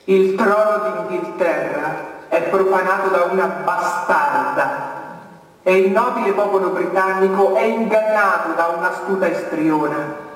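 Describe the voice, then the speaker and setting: A man's voice: high voice, unhurried, a bit bright, soft background music. A man playing a proud, defiant captive queen claiming the throne, declaiming formally and haughtily in a stage drama. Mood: haughty, defiant. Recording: cavernous reverb, far from mic.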